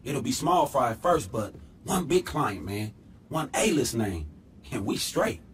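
A man's voice: mid-range voice, sing-song, a little slow, a little hiss or hum. A man playing a confident, streetwise ex-hustler turned businessman in a video game, with slangy, swaggering speech. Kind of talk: video game cutscene acting.

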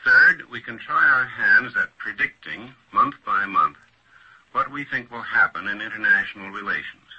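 An American man speaking as an academic panelist in a 1940s radio discussion, measured, formal and articulate. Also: lowish voice, lively intonation, muffled recording. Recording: noisy old radio recording.

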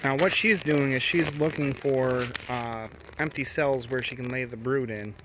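A man beekeeper explaining a hive inspection outdoors in a halting way with lots of fillers, recorded on a handheld camera. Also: medium pitch, noisy background. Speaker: beekeeper, co-host. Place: outdoors at a backyard beehive. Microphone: handheld camera mic. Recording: outdoor take with faint bee buzz.

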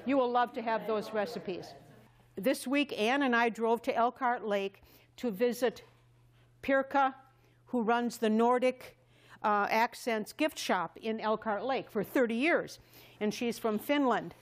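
A woman's voice: fairly high pitch, unhurried. A woman in her seventies, chatty and effusive, hosting an informal cooking demonstration. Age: 70s. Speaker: cooking class host. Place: large wood-paneled community hall.

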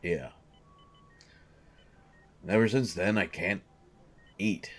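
A man voicing a grumpy coach turning monstrous. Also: deep voice. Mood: hungry, troubled. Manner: halting, hesitant, repeating himself. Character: gruff male sports coach turning into a hungry monster. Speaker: audio drama voice actor. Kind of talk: audio roleplay monologue.

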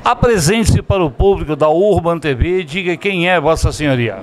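Middle-aged man, TV host, enthusiastic promotional tone, slightly high voice, handheld mic in a restaurant. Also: fairly quick, slight background noise. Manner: enthusiastic, promotional, addresses viewers directly. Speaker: TV show host. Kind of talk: upbeat on-location interview. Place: indoor restaurant dining room. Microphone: handheld microphone.

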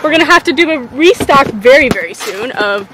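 A woman's voice: high voice, lively intonation, a little fast, background noise. A young American woman hosting a vlog, talking casually and enthusiastically to the camera. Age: young adult. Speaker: fishing vlog host. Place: in a car, outdoors and on a boat deck.

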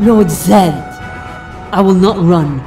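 A man's voice: high-pitched, slow pace, over music. A man playing a proud, defiant warrior guardian, declaiming in an audio drama. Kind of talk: superhero audio drama acting.

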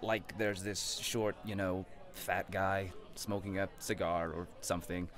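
A man's voice: low voice, level tone, measured pace, music in the background. A man playing an amiable, awkward young man on a first date in an audio play, hesitant and conversational.

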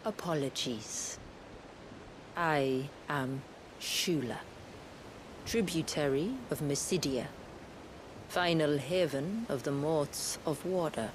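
An actress in a video game cutscene playing a proud, solemn envoy of a hidden tribe, speaking formally and with poise. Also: female voice, low-pitched, slow, pauses often, noisy background. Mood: poised, proud.

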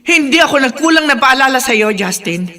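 A woman's voice: sits high, lively intonation, brisk, bright, forceful tone, heavily acted. An actress playing a stern, worried mother in an emotional Filipino radio drama, with a Filipino accent. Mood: scolding, pleading. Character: stern, worried mother of a headstrong young son.

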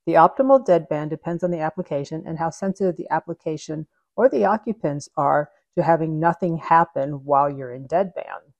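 Woman in her 40s explaining hvac stuff step by step, low mellow voice, dry close sound. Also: no room sound. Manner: clear, steady step-by-step explaining. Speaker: HVAC educator and channel host. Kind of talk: explaining over whiteboard drawings. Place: home office with shelves and neon sign.

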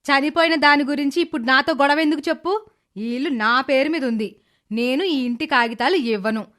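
A cruel middle-aged stepmother from a cartoon moral tale, played by a woman, speaks in a high voice. She scolds with scorn and sarcasm, sounding resentful and calculating. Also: a little fast, fairly bright tone. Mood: angry, defiant. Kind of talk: animated moral story character dialogue.

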